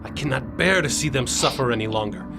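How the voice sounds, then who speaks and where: A man's voice: medium pitch, expressive, fast talker, over loud music, close to an everyday voice. An actor playing a noble, resolute deposed king in a video game, with a solemn, heroic, declamatory manner. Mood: grave resolve. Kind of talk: video game hero acting.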